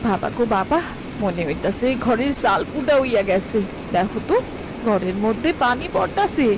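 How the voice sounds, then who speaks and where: A woman's voice: fairly high pitch, lively intonation, normal speed, noisy background. A woman voicing a protective farmer's wife and mother in a cartoon, scolding and pleading in village speech. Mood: anxious. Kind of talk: cartoon voice acting. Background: background music.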